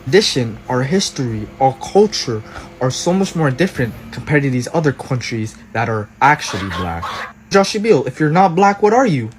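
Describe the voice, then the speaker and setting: Assertive man with a lively voice. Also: mid-range voice, moderate pace, faint music behind. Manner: assertive, questioning. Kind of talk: short clip monologue.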